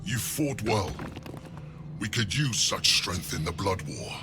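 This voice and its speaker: An actor playing a proud, battle-hungry infernal warrior in a video game, speaking in a bold, predatory way. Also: male voice, on the low side, expressive, takes it slowly, music in the background. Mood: approving, menacing. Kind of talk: video game drama acting.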